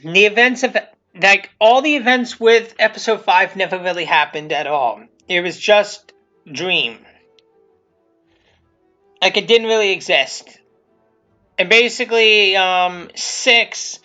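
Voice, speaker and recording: A man's voice: high-pitched, expressive, unhurried, pushed and bright. A man giving casual, unscripted commentary as the creator of a video series, rambling and repeating himself. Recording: muffled lo-fi mic, music behind.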